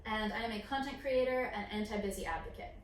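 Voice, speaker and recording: A woman's voice: mid-range voice, expressive, fast, a little hiss or hum. A young American woman co-hosting a vlog in casual, informal chat with a lightly joking manner. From United States. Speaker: co-host of a couple's vlog, content creator. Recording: very echoey room, distant mic, faint music.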